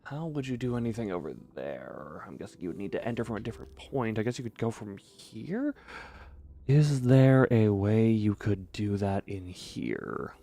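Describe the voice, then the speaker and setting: A man's voice: fairly low pitch, lively intonation, moderate pace, creaky, dark tone, a little hiss or hum. A man giving casual live gameplay commentary as a streamer, musing and hesitating as he thinks aloud. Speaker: game streamer.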